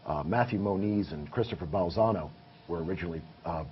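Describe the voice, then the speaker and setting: Low-voiced man telling ghost stories. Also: fast. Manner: earnest, detailed storytelling. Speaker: paranormal investigator guest. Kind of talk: casual interview talk. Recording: muffled, with faint hiss.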